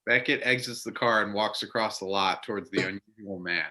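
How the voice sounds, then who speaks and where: Forward bright male voice, slightly deep, narrator, scripted reading, stage directions. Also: a little fast.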